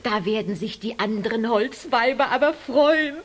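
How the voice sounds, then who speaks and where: A woman's voice: a little high, expressive, moderate pace. An actress playing a mischievous, gleeful little witch with a teasing, bossy manner in a children's radio play. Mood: mock sweet. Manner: sing-song teasing, chuckling. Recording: faint cassette noise.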